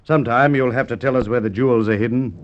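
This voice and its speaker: An actor playing a shrewd, middle-aged police inspector in a radio drama, questioning in a measured, hesitant, quietly sardonic way. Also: male voice, medium pitch, fairly even intonation, heavy background noise, close to an everyday voice. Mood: sardonic, triumphant. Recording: dull lo-fi vintage radio recording.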